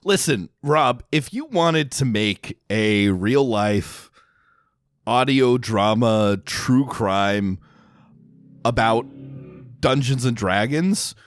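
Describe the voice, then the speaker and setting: A man co-hosting a podcast in casual review chat, explaining things in a wry, halting way. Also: mid-range voice, animated, dry recording, clean studio sound. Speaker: podcast co-host.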